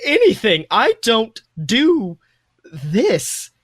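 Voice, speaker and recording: A man puts on a prickly, flamboyant guy for a story read aloud. The voice sits very high and leaps about dramatically. Every phrase drips with sarcasm or indignation, in a close, dry sound. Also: huge pitch swings, extremely slow, a bit bright, dry recording, full character voice. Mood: horrified disbelief. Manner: exaggerated, dramatic, sarcastic delivery. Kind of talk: fan fiction read aloud with character voices.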